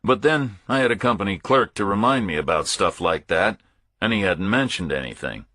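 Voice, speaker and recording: Bright, forceful male audiobook narrator, slightly husky. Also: lowish voice, animated, moderate pace, dry recording. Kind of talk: scripted first-person thriller narration.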